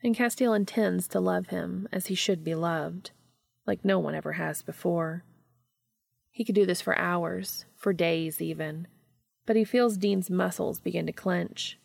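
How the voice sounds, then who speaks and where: A woman's voice: on the low side, unhurried, creaky, a little mellow, no echo, clean studio sound. A woman reading scripted fiction as an audiobook narrator.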